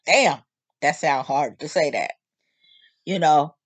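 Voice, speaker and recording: A woman in her late fifties talking openly to her audience in a casual, animated, joking monologue. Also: deep voice, takes it slowly, dry recording. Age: 50s. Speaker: vlog host.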